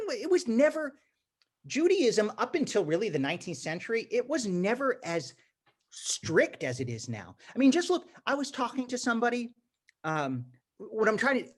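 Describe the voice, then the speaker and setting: A teacher with an emphatic manner and many restarts, giving an informal lecture to a class over a video call. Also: mid-range voice. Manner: animated, emphatic, frequent restarts. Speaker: teacher leading a congregation class.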